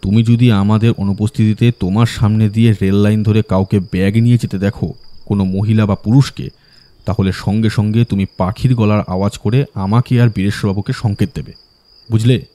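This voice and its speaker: A man's voice: fairly low pitch, fairly even intonation, brisk, dark tone, lightly acted. A man playing a shrewd, confident detective in an audio drama, giving instructions in a commanding manner. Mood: composed commanding.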